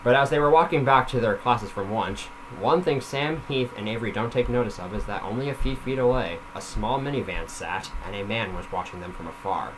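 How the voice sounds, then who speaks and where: A man's voice: lowish voice, a little fast, few pauses, noisy background. A man reading a story aloud casually, with informal self-critical asides off the script. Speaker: reader of their own story.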